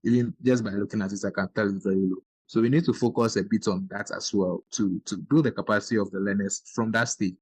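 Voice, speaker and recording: Low-voiced man, dry close sound. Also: fairly even intonation, fast, few pauses, slightly rough, no echo, rough recording. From Ghana. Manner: earnest, explanatory, with brief backchannel agreements. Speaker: podcast host. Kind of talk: podcast conversation.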